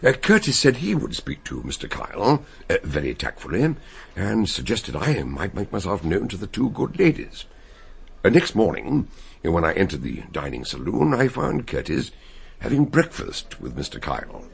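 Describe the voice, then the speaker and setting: A genial detective in a radio play, chuckling as he talks. His voice is low and deeply gravelly, husky rather than clear, and it swings widely in pitch. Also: huge pitch swings, normal speed, heavily acted. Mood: amused. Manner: genial, chuckling, fond of long words. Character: Dr Gideon Fell, jovial older male detective narrator. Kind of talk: radio drama acting and narration.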